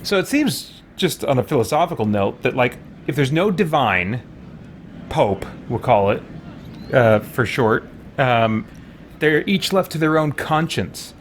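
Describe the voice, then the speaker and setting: A man giving casual, reflective game commentary, thinking aloud in a halting way. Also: medium pitch, very animated, slight background noise. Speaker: let's-play host.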